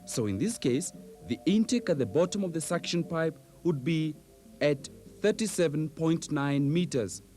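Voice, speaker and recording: Male instructional narrator, slightly high pitch, measured delivery, precise enunciation, old videotape soundtrack, light music behind. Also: lively intonation, mellow. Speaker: training film narrator. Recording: old VHS training film soundtrack.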